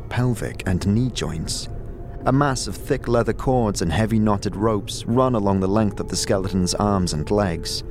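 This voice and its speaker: Low-pitched male fantasy narrator over music. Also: a little mellow. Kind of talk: scripted second-person fantasy narration.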